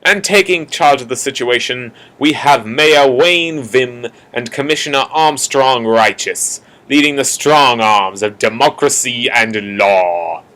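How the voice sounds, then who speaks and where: A man's voice: medium pitch, sharp tone, poor audio. A man playing an earnest announcer who narrates a radio drama in a theatrical, emphatic way, with a put-on Australian accent. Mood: grand. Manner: theatrical, emphatic, with drawn-out key words. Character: earnest male announcer introducing a city police force.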